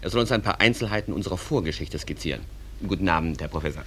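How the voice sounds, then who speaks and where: A genial stage manager in a radio drama, deep-toned and highly animated in pitch. He is courteous but brisk, steering things along politely. A clear background hiss sits on the recording. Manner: polite, briskly cuts speakers short. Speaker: narrator and master of ceremonies. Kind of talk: German radio play acting.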